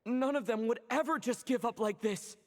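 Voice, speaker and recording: A woman's voice: a little high, moderate pace, a crowd in the background, close to an everyday voice. Woman acting a steadfast young hero, echoing hall. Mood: determined, earnest. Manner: earnest, determined. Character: steadfast young traveler hero of a fantasy game. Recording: long reverb, faint noise.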